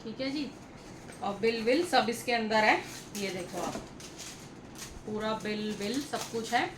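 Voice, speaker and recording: High-voiced woman vlogging, echoey room. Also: a little slow. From India. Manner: chatty, repetitive, addresses viewers directly. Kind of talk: casual vlog storytelling to viewers. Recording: echoey room with clear background noise.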